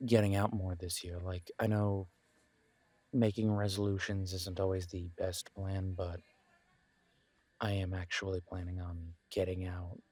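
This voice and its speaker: A low male voice, close and dry, a man acting a friendly homebody best friend in a roleplay audio. He talks very slowly and casually, in hesitant phrases, reassuring and gently encouraging the listener. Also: no room sound. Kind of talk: intimate roleplay audio, one-sided casual conversation.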